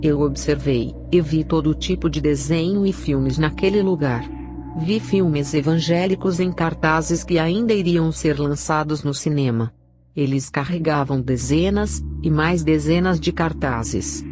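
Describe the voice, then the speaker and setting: A woman giving a scripted narration of a religious testimony in a solemn, measured way. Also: low voice, noisy background. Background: background music.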